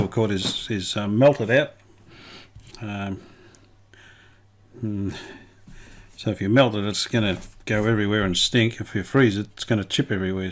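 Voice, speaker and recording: A middle-aged man, talking casually while he tests and repairs an old radio in his workshop, thinking aloud with many pauses and restarts. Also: fairly low pitch, slight background noise. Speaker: radio restorer, channel host. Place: home workshop bench.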